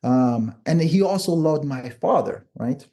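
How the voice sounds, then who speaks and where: Man, middle-aged, relaxed warm timbre, thoughtful conversational guest who restarts and rewords his points. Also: on the high side, unhurried. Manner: thoughtful, repeats and rephrases phrases. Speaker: podcast guest, author and psychiatrist. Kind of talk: reflective interview conversation. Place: remote online call.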